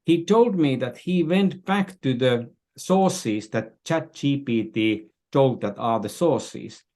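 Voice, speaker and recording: A man's voice: mid-range voice, lively intonation, takes it slowly, dark tone. An older man with a Finnish accent speaking as an interview guest, explaining things conversationally and often searching for words and repeating phrases. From Finland. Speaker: interview guest, business strategist.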